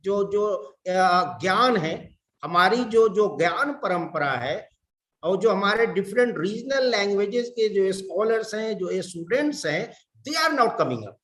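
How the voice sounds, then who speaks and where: A middle-aged man from India with an Indian English accent, giving a deliberate lecture to fellow educators, repeating words and mixing in Hindi. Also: high voice, animated, fairly bright tone, echoey room. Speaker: professor.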